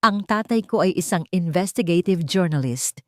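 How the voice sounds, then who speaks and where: A woman's voice: on the low side, animated, dry recording, clean studio sound, lightly acted. A woman with a Filipino accent voicing a grave, determined man in a dramatic audio story. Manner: grave, deliberate. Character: serious, determined man, journalist's son seeking justice.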